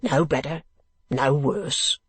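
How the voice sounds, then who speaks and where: A reader voicing a coarse, squat male keeper from a storybook, gruff and blunt, with uneducated speech. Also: medium pitch, slow pace, no echo. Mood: stolid.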